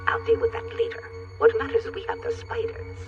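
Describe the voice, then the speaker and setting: A man playing a cold, controlling grandparent who speaks formally and with calculation in an animated drama. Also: a little fast, recorded from a distance, narrow, tinny audio, faint music behind, natural voice. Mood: cold, commanding. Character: stern, manipulative old grandparent, cold and controlling villain.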